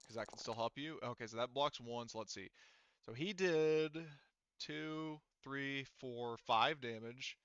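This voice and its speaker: A man acting as game master in a casual tabletop session, thinking aloud in a halting way as he tallies rules and rolls. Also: medium pitch, fairly bright tone, smooth, no echo, dull audio.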